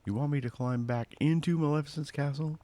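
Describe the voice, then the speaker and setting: A man's voice: on the high side, fairly even intonation, a little fast, mellow, pitched above the performer's own voice, close to an everyday voice. A man voicing a charming, cocky roguish thief from a video game, flippant and teasing. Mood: cocky, wary.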